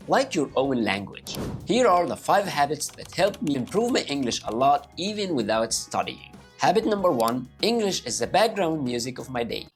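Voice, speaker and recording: A man from Egypt with an Egyptian Arabic accent, a native Arabic speaker, giving upbeat English-learning tips straight to his viewers. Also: medium pitch. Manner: upbeat and animated, addressing viewers directly. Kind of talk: talking to camera, motivational tips.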